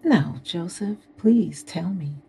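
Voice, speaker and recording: An actress playing a flirtatious, scheming married woman of wealth in an audio drama, with a husky, breathy voice and coaxing manner. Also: fairly low pitch, expressive, slow pace, dark tone, exaggerated voice. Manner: seductive, coaxing. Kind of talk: modern audio drama acting.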